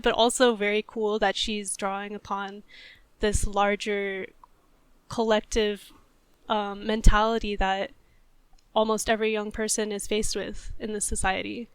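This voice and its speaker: A woman's voice: medium pitch, fairly bright tone, smooth, no echo. A woman co-hosting a podcast, talking through a topic in a casual, analytical and thoughtful way. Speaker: podcast host.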